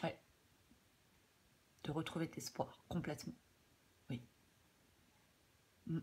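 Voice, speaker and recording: A woman with a French accent giving a tarot reading in a casual, hesitant way, slightly hoarse, with many fillers and repeated phrases. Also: sits low, fairly even intonation, slow pace, slight background noise. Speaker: tarot reader. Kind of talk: casual spoken tarot guidance.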